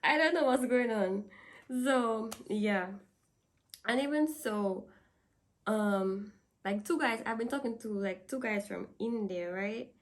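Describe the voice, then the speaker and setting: A woman's voice: on the high side, slow pace. A young woman from Dominica with a Dominican accent, chatting casually to camera and laughing often. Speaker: vlogger.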